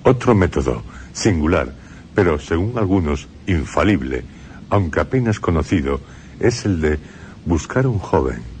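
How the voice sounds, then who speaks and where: Very deep-voiced Spanish radio narrator. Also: male voice, big pitch swings, darkish tone, slight background noise. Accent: Spain Spanish. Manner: measured, dramatic pauses.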